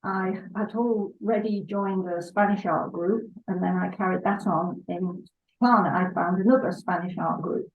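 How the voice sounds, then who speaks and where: An older woman from Salford, England, chatting as a guest in an unhurried way with hesitant fillers. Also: lowish voice, steady tone. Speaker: guest artist. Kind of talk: relaxed interview chat. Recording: dull lo-fi sound.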